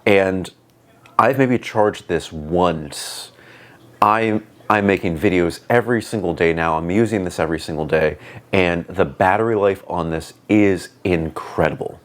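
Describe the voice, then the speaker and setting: A man with a booming voice giving a casual, rambling product review on a clip-on wireless mic, with lots of "like" and "um". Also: unhurried. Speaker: tech reviewer. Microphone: wireless clip-on lapel mic.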